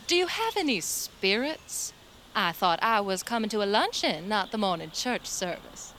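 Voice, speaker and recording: An actress playing a flippant, hard-drinking Civil War era Southern belle in an audio drama, with a Southern US accent and a theatrical way of telling stories. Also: female voice, mid-range voice, very animated, moderate pace, fairly bright tone, a little hiss or hum. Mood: playful, gossipy. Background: music.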